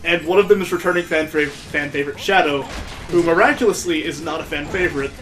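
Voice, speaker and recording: A man with a slightly high voice gives casual commentary as he plays a video game. He gripes with amused sarcasm, and game music plays faintly behind him. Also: fast talker, fairly bright tone. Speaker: let's play video host.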